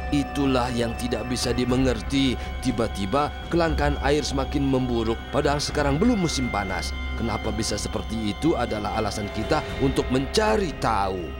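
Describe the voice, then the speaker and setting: A man voicing an elderly grandfather in a dubbed children's cartoon, explaining things in a measured, serious way. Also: mid-range voice, expressive, lightly acted. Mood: grave. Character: elderly grandfather, grave thoughtful scientist type. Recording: music playing underneath.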